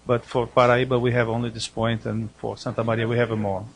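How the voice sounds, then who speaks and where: Creaky-voiced man chairing a session. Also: medium pitch, level tone, fairly quick, slight background noise, rough recording. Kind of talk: unscripted academic discussion.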